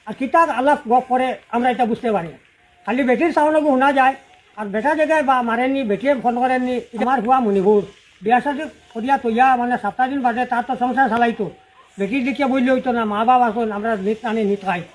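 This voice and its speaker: A man's voice: very high-pitched, brisk, slight background noise, poor audio. A middle-aged man with a Sylheti accent telling a personal account in a rambling, repetitive, unscripted way. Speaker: father-in-law telling his family's story.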